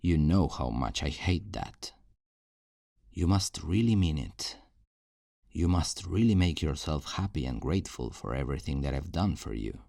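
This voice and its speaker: A man's voice: extremely low pitch, expressive, creaky, dark tone, no echo, studio quality, full character voice. A man playing a possessive, falsely tender kidnapper in an intimate ASMR roleplay, coaxing and condescending with veiled menace. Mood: quietly threatening. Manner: slow, coaxing, condescending endearments with veiled threats.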